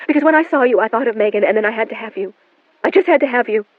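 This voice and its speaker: Woman doing a frantic mom begging on the phone, pitched up high, tinny dry audio. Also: moderate pace, dry recording, natural voice. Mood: desperate. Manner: pleading, rising and breathless. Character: frantic middle-aged mother, pleading, guilt-ridden. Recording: narrow phone-like band, dry.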